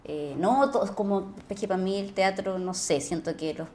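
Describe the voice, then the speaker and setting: A woman's voice: mid-range voice, normal speed, a little mellow. A Chilean woman, an actress being interviewed, reflecting conversationally and often searching for her words.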